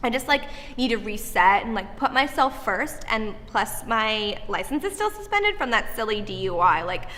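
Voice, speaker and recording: An actress playing a self-absorbed young party girl who talks breezily and deadpan about dark things. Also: fairly high pitch, moderate pace, big-room sound. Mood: breezy.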